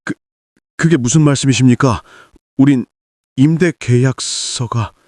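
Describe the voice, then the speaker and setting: An aging, dutiful father, acted by a male narrator in an audiobook drama. He is anxious and polite, pleading in formal speech with his pitch pushed up. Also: a little slow, a little mellow, no room sound, clean studio sound, lightly acted. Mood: anxious, bewildered.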